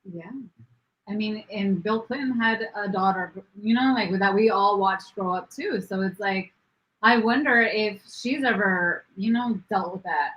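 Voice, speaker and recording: A woman co-hosting a casual podcast, talking quickly and laughing easily. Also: mid-range voice, muffled recording. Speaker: podcast co-host.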